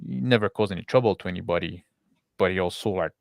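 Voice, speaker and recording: A man improvising as game master in tabletop roleplay, halting and often repeating and rephrasing his words. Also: sits low, creaky, darkish tone, no echo, studio quality.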